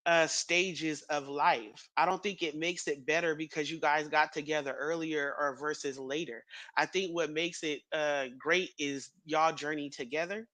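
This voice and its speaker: A man in his thirties chatting casually as a podcast co-host, rambling with fillers and quick laughs. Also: fairly high pitch, pushed and bright, no room sound. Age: 30s.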